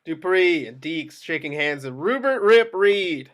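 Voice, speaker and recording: Higher-voiced man reading stage directions. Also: animated, measured pace, fairly bright tone. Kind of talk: scripted screenplay narration, amateur table read.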